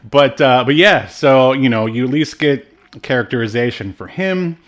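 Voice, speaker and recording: Halting, casual man talking movies. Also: medium pitch, expressive. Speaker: podcast co-host reviewing films. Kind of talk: casual film discussion.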